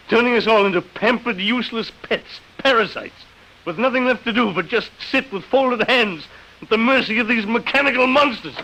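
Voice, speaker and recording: A man's voice: sits high, bright, forceful tone, full character voice, rough recording. An actor playing an agitated, despairing man in an old radio drama, speaking with stammering intensity. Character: agitated, despairing man horrified by robot rule. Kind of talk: 1950s radio drama acting. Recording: old radio broadcast recording.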